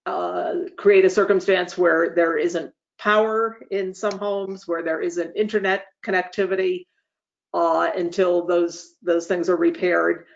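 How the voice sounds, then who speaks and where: A speaker hosting an online meeting, giving semi-formal updates in measured, formal wording with frequent hesitant pauses. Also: medium pitch, level tone, creaky. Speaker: association leader hosting a meeting. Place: online webinar call. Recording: dull, lo-fi online meeting audio.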